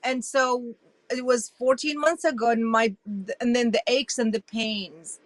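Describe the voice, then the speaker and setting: A woman in her sixties, originally from Iraq, telling her story as an interview guest in an animated, emphatic way. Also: on the high side, measured pace, no echo. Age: 60s. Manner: animated and emphatic, with frequent restarts.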